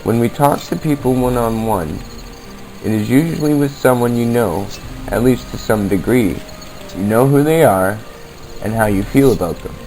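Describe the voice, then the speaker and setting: A man's voice: medium pitch, normal speed, mellow, soft background music, rough recording. A man hosting a podcast, reading scripted informational narration on a basic amateur setup. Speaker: podcast host. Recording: amateur podcast, basic equipment, uneven levels.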